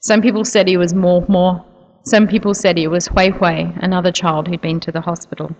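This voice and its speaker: A woman speaks to a church audience, earnest and soft-spoken, with the occasional um. Her voice sits a little low for a woman. It carries the long reverb of a big hall. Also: level tone, a little fast. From New Zealand. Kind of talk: church talk telling a personal story.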